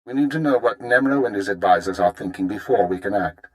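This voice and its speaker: A man playing a stern, formal intelligence chief in a video game, giving measured, authoritative orders. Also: lowish voice, close to an everyday voice, low-quality recording. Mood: stern, instructive. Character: stern male imperial intelligence chief briefing an agent. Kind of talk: scripted video game dialogue.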